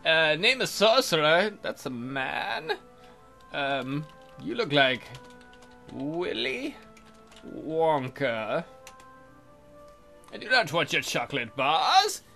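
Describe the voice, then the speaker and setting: A man's voice: a little high, pushed and bright. A man hosting a let's play, reading visual novel text aloud and cracking irreverent, crude jokes. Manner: irreverent, crude joking, frequent mock voices. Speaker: let's play video host.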